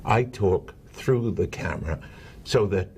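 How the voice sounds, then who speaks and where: A man's voice: low-pitched, a little slow, a little mellow, husky. An older man, a veteran broadcaster, chatting as an interview guest and telling stories with jokes at his own expense. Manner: chatty, joking storyteller. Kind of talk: casual interview chat.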